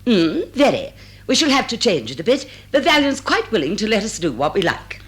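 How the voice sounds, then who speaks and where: An actress playing a cool, worldly English wife who scolds with dry irony and measured affection in a radio comedy. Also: female voice, a little high, very animated. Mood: dry reproof. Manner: dry, ironic, measured lecturing. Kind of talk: stage drawing-room comedy in radio drama.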